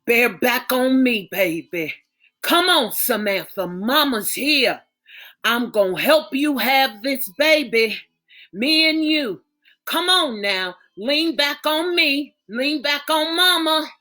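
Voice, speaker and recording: A woman voicing a calm, loving mother and matriarch who coaxes and soothes in a Southern dialect. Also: sits high, slow, voice pushed up, heavily acted. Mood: coaxing.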